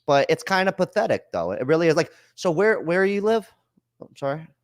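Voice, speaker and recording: A man's voice: a little high, big pitch swings, a little fast, dry recording, studio quality. A man hosting a call-in podcast, talking loosely and bluntly in slang, swearing freely. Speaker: podcast host. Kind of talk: casual chat.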